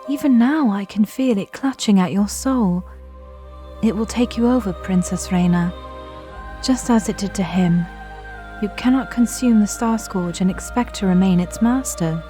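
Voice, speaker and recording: A woman playing a solemn young noblewoman in an audio drama, speaking in a formal, measured, gravely reproachful way. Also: mid-range voice, warm tone, faint music behind, lightly acted.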